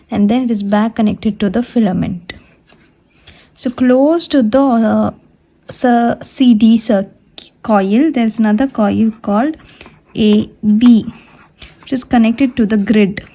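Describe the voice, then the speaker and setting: Higher-pitched woman lecturer with mellow tone. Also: low-quality recording. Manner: repeats and restates points deliberately. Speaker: physiotherapy lecturer. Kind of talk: step-by-step technical lecture explaining a diagram.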